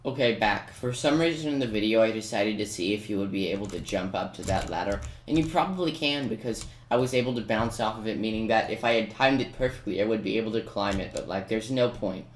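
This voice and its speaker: A man's voice: on the low side, quick pace, few pauses. Man doing game commentary in an echoey room. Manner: self-mocking, playfully boastful. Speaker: gaming video maker. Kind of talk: casual gameplay commentary. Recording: roomy, noisy home recording with keyboard clicks.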